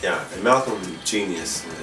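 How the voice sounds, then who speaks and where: A middle-aged man from Puerto Rico, raised in New York City, talking casually and a little haltingly as a fashion designer being interviewed. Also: deep voice, distant mic. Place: designer's indoor studio with sewing machines. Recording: echoey room, off-mic, music behind.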